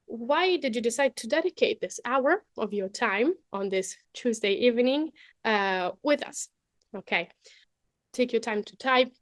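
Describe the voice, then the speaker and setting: A woman's voice: on the high side, animated, measured pace, dry recording, studio quality. A young adult woman with an Italian accent presents a webinar in a chatty way, often adding "okay?" and fillers. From Italy.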